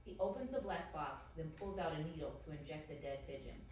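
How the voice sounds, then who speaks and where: A woman's voice: deep voice, brisk, a little mellow, distant mic. A woman narrating stage directions from a script at a table read. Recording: very echoey room, faint noise.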